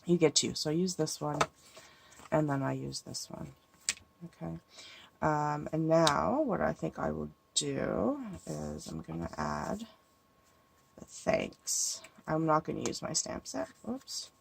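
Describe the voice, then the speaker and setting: A woman's voice: low-pitched, takes it slowly, gravelly, slight background noise. Stuffy woman musing over her craft table. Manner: thinking aloud, musing and hesitant. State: stuffy from a cold. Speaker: card-making crafter. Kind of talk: casual crafting tutorial talk.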